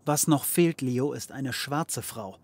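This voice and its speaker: An actor playing a cocky, scheming occult detective in a comedy audio drama, wry and theatrical. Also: male voice, mid-range voice, takes it slowly, a little mellow. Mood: sardonic, patronising. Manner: wry, theatrical, mock-patronising.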